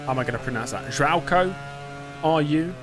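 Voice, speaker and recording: A man's voice: a little high, a little fast, over music. A young British man talking through a racing game live, chatty and self-mocking as he answers his chat. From Britain. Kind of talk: casual live-stream commentary.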